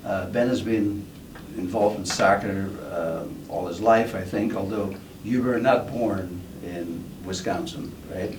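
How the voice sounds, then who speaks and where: A man's voice: sits low, unhurried, hardly any pauses, darkish tone. A man interviewing a guest, prompting him with leading questions. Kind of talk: oral history interview. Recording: roomy, distant, noisy recording.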